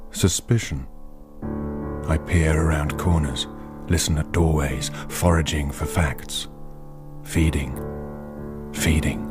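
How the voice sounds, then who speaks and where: An actor playing a predatory, brooding narrator in a radio drama, theatrical and ominous. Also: male voice, rumbling low, steady tone, takes it slowly, long pauses. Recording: loud plucked guitar music behind.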